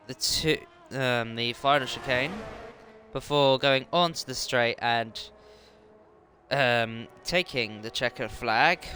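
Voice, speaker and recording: A man's voice: medium pitch, pushed and bright, slight background noise. A man giving play-by-play commentary on an online racing league, his voice worn and tired from streaming, with frequent ums. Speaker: race commentator.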